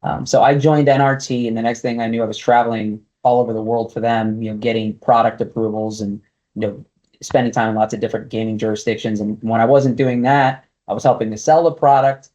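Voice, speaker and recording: Mellow-voiced man in a thoughtful interview. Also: medium pitch, fairly even intonation, fairly quick, lo-fi sound. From United States (Brooklyn, New York), raised partly in Guatemala. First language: Spanish. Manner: thoughtful, many fillers and restarts. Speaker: casino executive, guest. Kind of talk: conversational interview answers.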